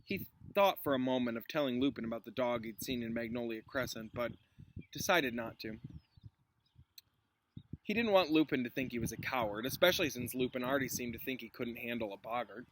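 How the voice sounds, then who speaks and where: A man's voice: medium pitch, fairly quick. A male teacher reading a storybook aloud to children outdoors, with informal explanatory asides. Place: outdoors in a chilly llama pasture. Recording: clearly noisy outdoor recording with clicks.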